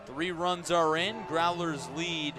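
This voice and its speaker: A man doing live radio play-by-play of a baseball game, descriptive and unhurried between pitches and punchier on the action. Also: steady tone. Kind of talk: live radio sports commentary.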